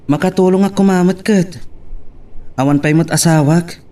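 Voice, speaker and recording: A man's voice: high voice, normal speed, mellow, natural voice. A man playing a dutiful, subdued young elder brother in an audio drama, recorded away from the microphone. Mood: resigned. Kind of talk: Ilocano radio-style audio drama. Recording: distant from the microphone.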